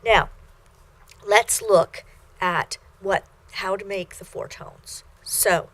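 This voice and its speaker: A woman's voice: steady tone, fairly bright tone. Patient older woman lecturing in a studio. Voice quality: fairly high voice. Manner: measured, patient teaching with frequent ums and self-corrections. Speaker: Chinese language instructor. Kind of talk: recorded video lecture. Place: small studio with plain backdrop.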